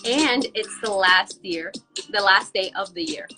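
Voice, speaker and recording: A young woman, raspy from a cold, hosting a live stream and effusively greeting her viewers by name. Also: mid-range voice, lively intonation, a little slow, over music, rough recording. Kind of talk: live-streamed motivational talk.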